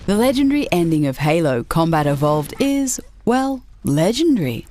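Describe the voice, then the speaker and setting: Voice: mid-range voice, sing-song, moderate pace, heavy background noise. A narrator reading a scripted video game countdown as a voice-over.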